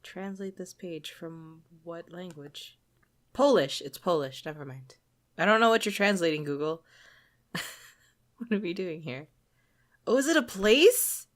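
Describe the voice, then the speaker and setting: Low-pitched woman chatting casually. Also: animated, unhurried, long pauses. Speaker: podcast co-host. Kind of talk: casual chat about games.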